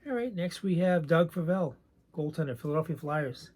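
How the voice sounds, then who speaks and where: A soft-spoken man with a raspy voice, chatting casually and rambling a bit while showing his collection. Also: a little high, moderate pace. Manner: soft-spoken, not loud; rambling, hesitant with many ums. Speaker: card collecting channel host. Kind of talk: casual show-and-tell chat.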